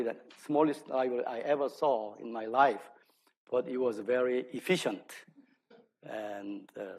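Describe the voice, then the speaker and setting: Male lecturer, native Korean speaker with Korean accent. Medium pitch, halting delivery, self-deprecating academic talk. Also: a little mellow, low-quality recording. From South Korea. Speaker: lecturer, archaeology scholar. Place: speaking live to an audience at an event.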